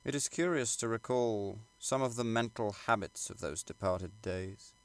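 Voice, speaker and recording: A man reading literary prose aloud as an audiobook narrator, in a measured, formal and dramatic way. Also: on the low side, clear, resonant tone. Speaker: audiobook reader.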